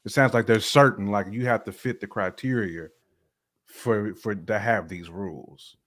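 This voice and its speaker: A man's voice: lowish voice, normal speed, a bit bright. A man giving casual reaction commentary as a co-host.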